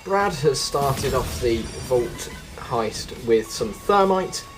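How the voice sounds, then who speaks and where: A man's voice: mid-range voice, expressive, takes it slowly, music in the background. A man giving chatty game quest walkthrough commentary as a host, often correcting himself as he goes. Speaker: gaming video host.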